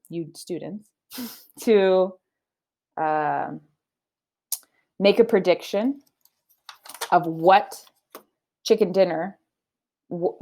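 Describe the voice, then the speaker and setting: A woman in her thirties, a teacher, reading a storybook aloud to children at home and explaining reading skills in a teacherly way. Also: lowish voice. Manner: warm teacherly, explaining and questioning. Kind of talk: children's read-aloud lesson. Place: home room.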